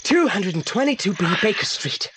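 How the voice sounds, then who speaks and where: A man's voice: high voice, sing-song, moderate pace, noisy background. An actor playing a clever, bossy boy detective in an audio drama, precise and commanding. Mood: brisk. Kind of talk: 1980s British audio drama.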